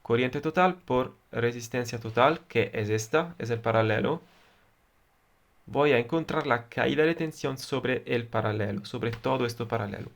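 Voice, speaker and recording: A man with an Italian accent, a native Italian speaker, explaining electronics in a deliberate, halting way with frequent fillers. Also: medium pitch, creaky. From Italy. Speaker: electronics tutor. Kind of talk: explaining circuits at a whiteboard.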